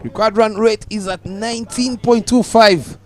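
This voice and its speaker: A young Ugandan man giving live cricket commentary, calm and measured, lifting his voice on the big moments. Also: high-pitched.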